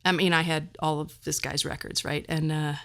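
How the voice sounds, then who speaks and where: A woman's voice: low-pitched, level tone, moderate pace, vocal fry, a bit bright. A middle-aged woman talking conversationally as a podcast host, with wry, self-deprecating asides.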